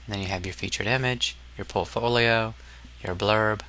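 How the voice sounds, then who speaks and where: Bright-voiced man giving a casual software tutorial. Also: lowish voice, steady tone, moderate pace, a little hiss or hum. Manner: informal, hesitant, step-by-step explaining. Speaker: instructor.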